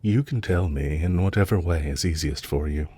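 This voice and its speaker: A man playing a composed, courteous villain leader in an audio roleplay, speaking in a measured, formal way to a captive he is fond of. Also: deep voice, warm tone, a little hiss or hum, lightly acted. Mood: gentle, coaxing.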